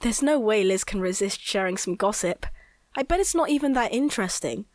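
A woman's voice: medium pitch, lively intonation, a little fast, darkish tone, no room sound, clean studio sound, natural voice. A female performer playing a curious, supportive young boy in a children's audio drama.

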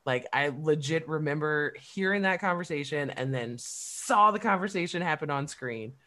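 A woman's voice: low voice, animated, moderate pace, a bit bright. A woman co-hosting a podcast in a casual, profane, emphatic chat, often breaking into laughs. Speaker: podcast co-host.

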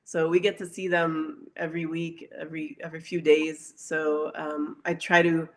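A woman speaking as an interview guest in casual chat, pausing often and letting phrases trail off. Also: deep voice, little pitch movement.

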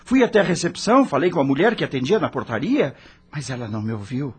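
A man's voice: a little high, big pitch swings, fast talker, husky, full character voice. A Brazilian man acting as the frightened, lost ghost of a rock star, narrating a radio drama in a dramatic, emotional way. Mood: anguished, haunted. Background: background music.

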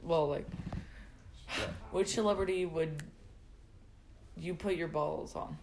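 A woman co-hosting a podcast, casually bantering and giving flat denials. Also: deep voice, takes it slowly, gravelly, a little hiss or hum. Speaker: podcast co-host. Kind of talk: casual joking chat.

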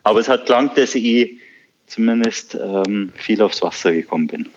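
A Bavarian man with a fairly low voice chats about his work, pausing often and filling gaps with hesitations. The sound is a little dull and lo-fi, like a remote connection. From Bavaria, Germany. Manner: relaxed, hesitant with many fillers. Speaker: podcast guest, surf school owner. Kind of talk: casual podcast interview.

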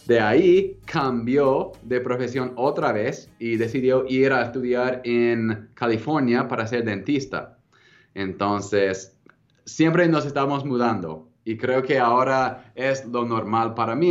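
A man in his thirties with an American English accent, speaking Spanish as a second language as a guest in a casual interview, relaxed and hesitant, with frequent ums and restarts. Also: medium pitch, unhurried, lo-fi sound. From United States. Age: 30s. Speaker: guest entrepreneur.

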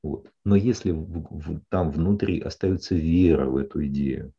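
A male psychologist calmly explaining ideas to a client in a session, often checking in with short questions. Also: extremely low pitch, normal speed, creaky, husky, muffled recording. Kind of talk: counseling session.

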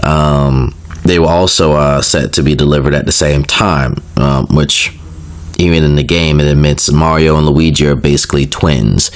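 Very deep-voiced man with a cold. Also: steady tone, normal speed. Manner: rambling, self-correcting, frequent fillers and asides. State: getting over a cold, slightly nasal. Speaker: video creator. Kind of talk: casual explainer of video game lore.

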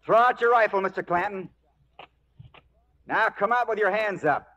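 An actor playing a steady, plain-spoken western marshal in a 1940s radio drama, a man with understated delivery. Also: high voice, moderate pace. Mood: stern. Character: grim, steady Old West marshal avenging his brothers. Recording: dull 1940s radio broadcast recording.

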